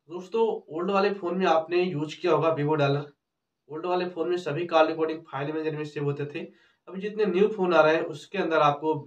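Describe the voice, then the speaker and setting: A man in his thirties explains things step by step to camera as a tutorial host, often repeating himself. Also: a little high. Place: small indoor room with cloth backdrop. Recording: roomy, distant, dull microphone sound.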